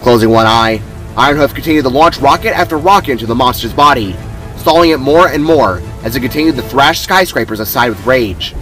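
Animated man narrating an adventure story. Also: medium pitch, brisk, faint music behind, low-quality recording. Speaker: narrator reading own story. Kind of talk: dramatic scripted narration.

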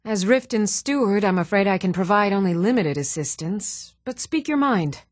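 A woman's voice: mid-range voice, lively intonation, normal speed, no room sound, clean studio sound, natural voice. An actress playing a composed, polite woman of standing in a fantasy video game. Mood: composed, formal. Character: composed, polite fantasy townswoman, steward or priestess. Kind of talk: fantasy game character dialogue.

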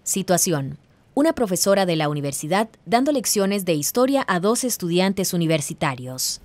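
A woman's voice: fairly low pitch, very animated, moderate pace, a little mellow. A woman presenting a programme in scripted narration.

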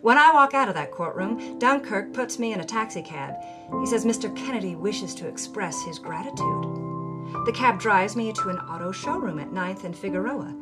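A woman's voice: medium pitch, lively intonation, moderate pace, lightly acted. A woman playing a worldly 1950s starlet who tells her own story in a punchy, hard-boiled audio memoir. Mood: brassy relish. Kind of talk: dramatic first-person audio memoir. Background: music under the voice.

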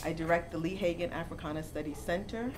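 A middle-aged woman professor from Jamaica speaks in a somewhat low voice. She tells her stories with animation, from a chair in a bookstore. A faint hum of room noise sits underneath. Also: fast. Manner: animated storytelling, gets louder and laughs when amused. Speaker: professor, interview guest. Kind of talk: conversational interview. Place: bookstore, seated in armchair indoors.

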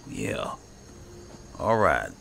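A man's voice: rumbling low, huge pitch swings, drawn-out words, loud music behind the voice. A man giving casual roleplay commentary on a video game, muttering to himself in short bursts. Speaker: gamer, video host. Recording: clearly noisy, game sound behind.

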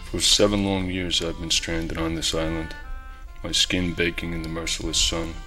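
A man's voice: low-pitched, flat delivery, moderate pace, long reverb tail, music in the background, lightly acted. A man playing a lonely, sunburnt castaway in a comedy sketch, narrating his plight with grave melodrama. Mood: mournful. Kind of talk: dramatic narration.

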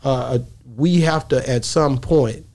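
A man's voice: mid-range voice, unhurried, a little mellow. A middle-aged man hosting a podcast, talking emphatically and often repeating his words. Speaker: podcast host. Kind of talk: opinionated podcast conversation. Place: small indoor podcast studio. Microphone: close studio microphone.

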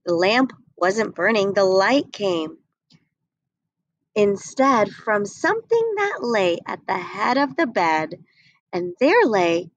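A woman's voice: mid-range voice, very animated, takes it slowly, no echo, dull audio. A young woman reading a picture book aloud, expressively, on a webcam at home. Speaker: reader.

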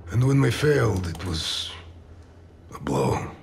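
An actor playing a stoic, haunted older veteran operative in a video game, formal and guarded. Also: male voice, low-pitched, very animated, slow pace, vocal fry, slight background noise, heavily acted. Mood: sombre regret. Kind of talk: video game cutscene acting. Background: music.

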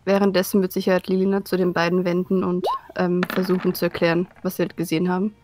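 A woman's voice: fairly low pitch, completely flat delivery, fast talker, darkish tone, no room sound. A woman playing a tabletop role-playing game, casually narrating her character.

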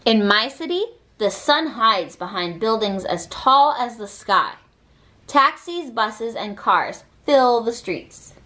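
A woman reading a children's picture book aloud with expressive, deliberate phrasing. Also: on the high side. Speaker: read-aloud reader.

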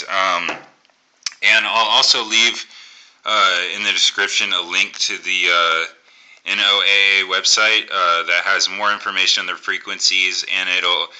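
An American man with a very low, smooth voice talks through a hobby tutorial. His tone is strikingly bright and crisp, and he feels his way haltingly through casual explanations. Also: rough recording. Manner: halting, thinking aloud. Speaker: amateur radio hobbyist presenting a tutorial. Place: indoors at a table.

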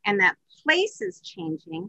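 A woman's voice: high-pitched, sing-song, measured pace, creaky, sharp tone, no room sound. A woman in her sixties hosting conversationally over a video call. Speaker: host, interviewer. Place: home office, indoors. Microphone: video call computer microphone.

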